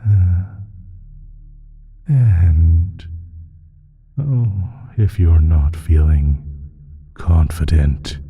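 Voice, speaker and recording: An ancient evil being with an abyssally low, very husky voice. He speaks extremely slowly, savoring each pause as he taunts his plaything with sly, playful menace in an audio roleplay. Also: dark tone, faint music behind, exaggerated voice. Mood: smug, taunting. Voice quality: very deep, husky, breathy, half-whispered. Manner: slow, drawn-out, teasing, savoring pauses. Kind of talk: scripted audio roleplay monologue.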